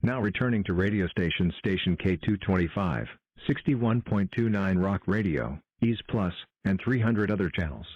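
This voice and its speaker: A man with a formal, flat synthetic delivery reads a scripted emergency broadcast announcement. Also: deep voice, moderate pace, a little hiss or hum. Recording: dull lo-fi audio.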